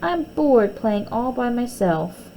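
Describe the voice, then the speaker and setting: Bossy, quarrelsome storybook girl played by a woman reader, emphatic, swooping intonation, slight creak. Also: a little high, big pitch swings, takes it slowly, dark tone, a little hiss or hum, voice pushed up, exaggerated voice, poor audio. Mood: pleading. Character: bossy, quarrelsome young girl who owns a doll. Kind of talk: children's storybook character voice.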